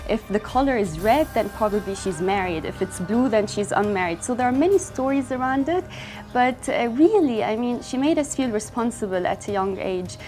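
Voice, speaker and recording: A woman's voice: a little high, expressive, normal speed, darkish tone. Earnest young woman, faint music behind. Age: young adult. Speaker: fashion brand founder. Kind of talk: interview monologue.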